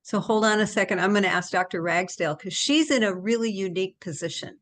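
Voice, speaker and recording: A woman hosting a casual interview chat, asking guests brisk questions. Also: mid-range voice, fairly bright tone. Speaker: host.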